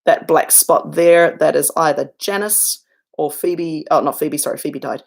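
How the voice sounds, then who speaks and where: Gravelly-voiced New Zealand woman chatting live. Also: steady tone, a little slow. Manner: chatty and self-deprecating, bursts up high when thanking viewers. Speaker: YouTuber hosting a livestream Q&A. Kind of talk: casual livestream chat reading viewer comments. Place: home, looking out over a garden and lake.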